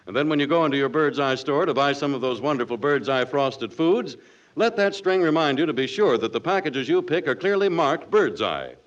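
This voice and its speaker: A man's voice: fairly high pitch, expressive, brisk. A man reading a 1940s radio commercial as announcer, in a chatty, emphatic, folksy sales style. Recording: muffled old radio recording.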